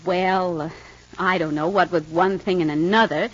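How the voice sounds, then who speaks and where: An actress playing a gentle, hesitant wife in a 1950s radio soap opera, with earnest delivery. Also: female voice, lowish voice, steady tone, normal speed, close to an everyday voice. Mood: concerned, reassuring. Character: gentle, hesitant wife hoping to adopt an older child. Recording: dull, noisy old radio recording.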